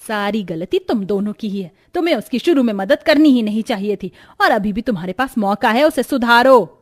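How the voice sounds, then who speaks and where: A woman's voice: a little high, lively intonation, fairly quick, soft background music. A woman voicing a stern older mother-in-law in a cartoon story, lecturing and reproachful. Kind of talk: Hindi cartoon story dialogue.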